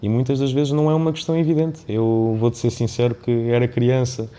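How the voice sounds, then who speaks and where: Mellow-voiced man with European Portuguese accent. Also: mid-range voice, steady tone, a little fast. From born in Mozambique, of Indian family background. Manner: discursive, often checks with "não é?". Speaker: podcast guest, business founder and CEO. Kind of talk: reflective conversational interview.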